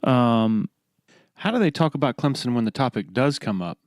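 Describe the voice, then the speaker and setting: A man's voice: medium pitch, expressive, normal speed, dry recording, studio quality. A man hosting a casual sports podcast, feeling his way through questions and rephrasing as he goes. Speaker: podcast host, interviewer.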